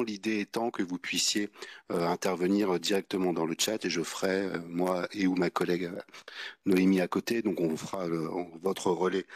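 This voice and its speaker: A man's voice: low-pitched, no room sound. A man giving practical announcements as meeting moderator, in hesitant, stop-start phrasing. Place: indoor public meeting. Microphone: meeting microphone.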